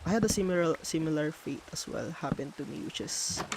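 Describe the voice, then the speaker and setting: A man's voice: a little high, a little hiss or hum. A man from the Philippines with a Filipino accent and Tagalog as his first language, chatting casually as he builds in a game and stopping to hunt for English words. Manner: thinks aloud, pauses to hunt for English words. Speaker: streamer.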